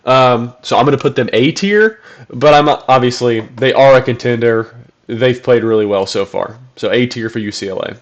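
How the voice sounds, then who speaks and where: A man's voice: medium pitch, moderate pace, lo-fi sound. A man hosting his own basketball channel, sounding congested from a cold, talking casually with lots of ums and restarts. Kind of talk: casual solo commentary video.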